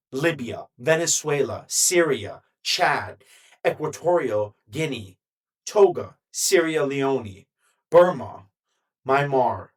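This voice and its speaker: A man speaks in unhurried phrases and leans on pauses for emphasis, like a solo commentator talking straight to the viewer. His voice sits at an ordinary middle pitch for a man and never rushes. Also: fairly bright tone, rough recording. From United States. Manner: measured, deliberate, with emphatic pauses. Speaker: commentary vlogger.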